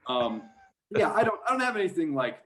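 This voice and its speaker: A man's voice: a little high, sing-song, a little fast, fairly bright tone. Rambling man in a casual interview. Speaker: sports play-by-play announcer being interviewed. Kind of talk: casual podcast interview chat.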